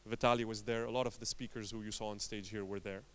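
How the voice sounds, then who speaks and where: A man from Lebanon giving a conference talk as an entrepreneur, telling his story in short emphatic phrases with dramatic pauses. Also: fairly low pitch, level tone, clear, resonant tone.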